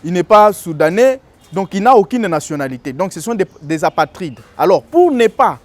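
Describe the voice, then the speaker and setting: A man talks through legal points like a teacher. His voice sits high for a man, and he pauses often on fillers as he explains. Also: sing-song, no echo. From DR Congo. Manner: didactic, with frequent hesitations. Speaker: political commentator. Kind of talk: explanatory commentary.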